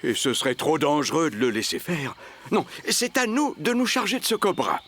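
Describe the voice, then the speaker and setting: A man voicing a big, good-natured bear in a French cartoon dub, blustery and protective, with expressive, hesitant interjections. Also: on the high side, moderate pace, full character voice. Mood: calm, protective. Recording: music under the dialogue.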